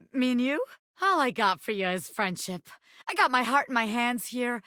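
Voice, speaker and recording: Casting: brash small-time crook bobby pin, game character. High, very animated, swaggering street slang. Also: female voice, dry recording, clean studio sound. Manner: streetwise slangy tough talk, swaggering, theatrical. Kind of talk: video game character voice acting.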